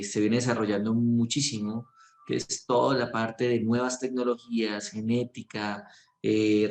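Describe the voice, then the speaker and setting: A middle-aged Colombian doctor with a Bogotá accent, speaking earnestly and reflectively in an interview, with many fillers and restarts. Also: male voice, on the low side. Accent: Colombian Spanish (Bogotá). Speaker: physician and research director. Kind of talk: promotional interview monologue. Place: clinic. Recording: echoey room.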